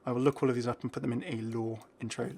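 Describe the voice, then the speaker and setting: A man's voice: mid-range voice, fairly even intonation, fast, gravelly, dark tone. A man hosting a gaming video, giving casual commentary and musing aloud in a chatty way. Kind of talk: casual game update commentary.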